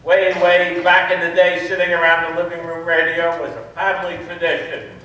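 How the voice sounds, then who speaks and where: A man's voice: a little high, flat delivery, normal speed, pushed and bright, slight background noise. A man playing a nostalgic narrator in a scripted stage show about old-time radio. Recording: echoey hall, far from microphone.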